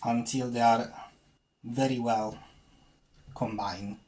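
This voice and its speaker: A man's voice: mid-range voice, steady tone, slow pace, a little mellow. A man from Sicily with an Italian accent, hosting a casual cooking tutorial and chatting loosely with many fillers. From Sicily, Italy. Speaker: cooking channel host.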